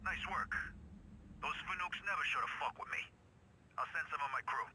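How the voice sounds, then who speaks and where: Male game actor as mobster, fairly high voice, brash, vindictive, foul-mouthed. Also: normal speed, natural voice, rough recording. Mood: vengeful. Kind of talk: cinematic game dialogue.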